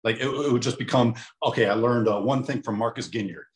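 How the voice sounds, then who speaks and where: A man's voice: mid-range voice, fast. A man in his thirties, a former pro basketball player, telling his story in an emphatic, confident way. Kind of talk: casual interview.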